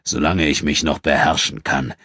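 Ein Mann, der in einem Hörbuch einen finsteren, drohenden Mann spricht, der seine Wut nur mühsam beherrscht. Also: male voice, extremely low pitch, fairly even intonation, breathy edge, no room sound, studio quality, exaggerated voice. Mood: menacing, restrained rage. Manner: dark, threatening, slow. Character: menacing, brooding Nubian man, barely controlling his fury. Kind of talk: audiobook character acting.

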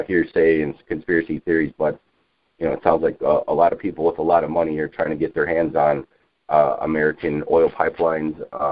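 A man talking informally about politics as an activist on a phone conference call, rambling and insistent, with halting, repetitive phrasing. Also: rumbling low, dry recording. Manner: halting, repetitive, insistent, peppered with you know. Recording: muffled narrowband phone conference line.

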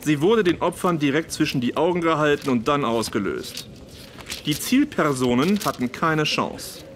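A man playing a gruff, self-important Victorian police detective in a German radio play, brusque and pompous in manner. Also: on the high side, a bit bright, a little hiss or hum.

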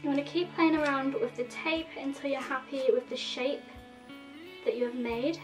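A woman's voice: sits high, normal speed, far from the mic, over music. A woman in her thirties with a slightly nasal sore throat, chattily explaining a makeup tutorial step by step to the camera. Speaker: makeup vlogger. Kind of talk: casual tutorial talk to camera. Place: indoor room in front of a red plaid backdrop.

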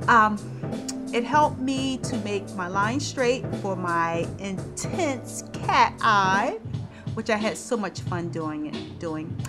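A woman's voice: mid-range voice, animated, slow pace. A woman in her thirties giving a casual, chatty, informal makeup tutorial as a beauty vlogger. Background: radio music playing in the background.

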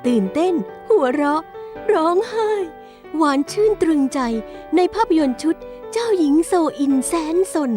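A woman's voice: very high voice, animated, a little slow, over music. A woman giving dramatic, theatrical scripted narration for a cartoon. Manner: grand, theatrical announcer delivery.

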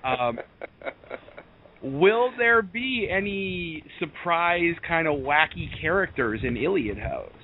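A man's voice: a little high, expressive, takes it slowly, slightly rough. A young man hosting a casual interview, eager and agreeable. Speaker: podcast host, interviewer. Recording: noisy, narrow phone-like audio.

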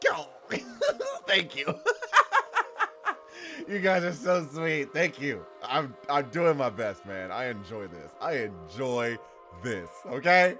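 A man's voice: medium pitch, a bit bright. A giggly, gushing man, a voice actor chatting casually to his viewers. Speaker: voice actor, channel host.

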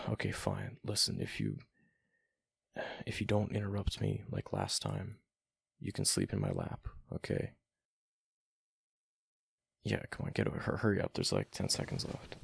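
A man playing a young gamer boyfriend in an ASMR roleplay, reluctant but affectionate, with halting, slangy, casual talk. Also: sing-song, full character voice. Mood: soft, reluctant, affectionate. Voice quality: deep, gravelly, breathy murmur at times. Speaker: voice actor in boyfriend roleplay audio.

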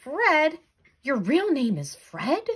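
Lady as a worried jellyfish in a kids book, exasperated, highish and swoopy. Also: wildly swooping pitch, slow, fairly bright tone, full character voice. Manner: incredulous, fretful. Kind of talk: picture-book read-aloud character.

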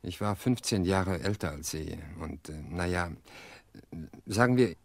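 Radio play: earnest, weary widower, middle-aged. Deep, dry sound, measured delivery. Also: male voice, vocal fry, no room sound, heavily acted. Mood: resigned, reflective. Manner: measured, reflective, hesitant pauses. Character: weary, earnest widower confessing to his sister-in-law. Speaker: actor in an audio drama.

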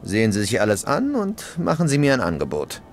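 A gruff wasteland trader. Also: male voice, on the low side, wildly swooping pitch, brisk, a little hiss or hum. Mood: businesslike. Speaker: merchant character.

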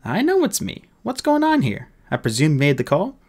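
A man's voice: fairly high pitch, huge pitch swings, brisk, a little mellow, voice pushed up, heavily acted. A man voicing a testy, blunt female fairy police captain in an audiobook story. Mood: testy, suspicious. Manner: snappish, blunt, skeptical.

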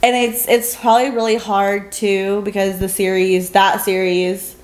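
A woman's voice: mid-range voice, flat delivery, a little slow. Young woman in casual, teasing co-host chat. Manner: supportive, chimes in, teasing, informal. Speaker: podcast co-host. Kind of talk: casual chat about books. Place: living room sofa, indoors.

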